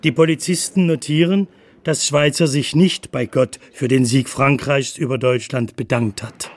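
A man's voice: medium pitch, fairly even intonation, normal speed, a little mellow, a little hiss or hum. A male narrator of a radio feature with a mid-range voice. He reads a prepared script in a plain, matter-of-fact way, setting out the story.

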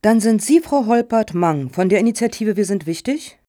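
An actress as a composed female foundation director in a satirical radio play. Her voice is mellow and relaxed, recorded dry and close. She speaks in measured, courteous, officious sentences. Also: mid-range voice, dry recording, lightly acted. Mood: courteous, formal.

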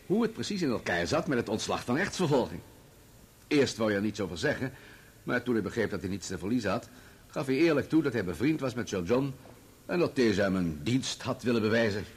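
Husky, self-righteous journalist witness. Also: male voice, medium pitch, sing-song. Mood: assured. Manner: formal, measured, assured. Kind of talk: radio play courtroom acting.

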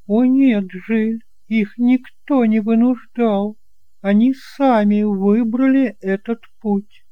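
A man's voice: extremely high pitch, fairly even intonation, slow, smooth, no room sound, narrow, tinny audio, voice pushed up. A man voicing a middle-aged widowed shopkeeper aunt, gushing and condescending, in a theatrical, exclamatory way. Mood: dramatic, indignant.

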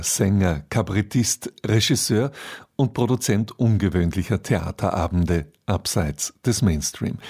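A man's voice: deep voice, a little mellow, breathy edge. A man presenting a radio play in a scripted introduction.

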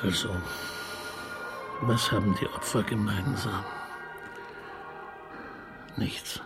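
A man's voice: fairly low pitch, fairly even intonation, slow pace, over loud music. A man playing a bumbling, cultured police detective in a radio crime comedy, with a hesitant, wordy way of talking. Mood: musing. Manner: hesitant stammering, fussy and wordy.

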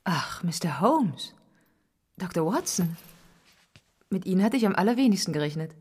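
An actress playing a polite but guarded wife with a husky, breathy voice in a radio play. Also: female voice, on the low side, lively intonation, normal speed, a little mellow. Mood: cool, surprised. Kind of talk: dramatic radio play dialogue.

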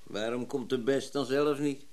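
A man's voice: medium pitch, steady tone, normal speed, darkish tone, lightly acted. An actor playing a grumpy, stubborn older tradesman in a Dutch radio drama, blunt and sardonic. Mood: indignant.

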